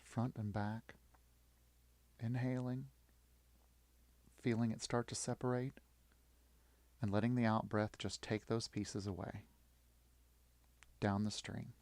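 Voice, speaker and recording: A man leading a guided yoga nidra meditation in short, soothing, encouraging phrases with long pauses. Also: lowish voice, flat delivery, darkish tone, no echo.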